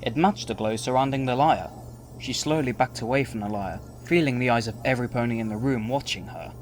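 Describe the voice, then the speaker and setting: A man's voice: mid-range voice, a little fast, slight background noise, poor audio. A man reading scripted story narration as the narrator of an audio drama.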